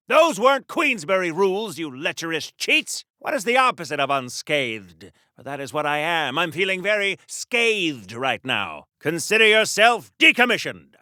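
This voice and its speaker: An actor playing a pompous, gung-ho American superhero from a golden age comic in a video game, declaiming grandly like a 1940s radio serial hero. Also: male voice, high voice, sharp tone, dry recording, clean studio sound, heavily acted. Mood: indignant, pained. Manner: grandiose, theatrical, florid declamation.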